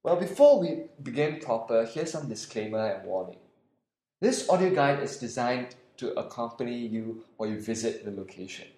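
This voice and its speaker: A man's voice: mid-range voice, very animated, moderate pace, dark tone, roomy sound. A man with a Singaporean accent, hosting a podcast and narrating a scripted audio walking tour, guiding the listener step by step. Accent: Singaporean English.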